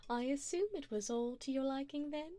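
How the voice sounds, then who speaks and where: A woman's voice: high voice, dark tone, close to an everyday voice. A woman voicing an elegant, flirtatious vampire in an ASMR roleplay, speaking formally and teasingly to the listener with endearments.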